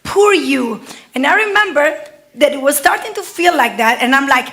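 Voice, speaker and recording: High, bright Italian woman preaching. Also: fairly quick. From Italy. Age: 40s. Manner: lively storytelling, laughs often. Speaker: guest preacher. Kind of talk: personal testimony sermon. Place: church service.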